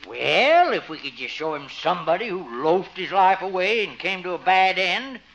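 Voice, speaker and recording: A folksy, fussy veterinarian from a 1950s radio comedy. His voice sits high and swoops around as he coaxes and dotes. The recording is muffled and old. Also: expressive, moderate pace, vocal fry, a bit bright, full character voice. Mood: chatty, eager. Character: folksy, doting small-town veterinarian, fussy and jolly.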